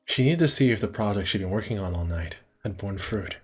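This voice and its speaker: A man's voice: lowish voice, expressive, a little fast, breathy edge, faint music behind. A man casually streaming a visual novel, reading the narration aloud and correcting himself with muttered asides.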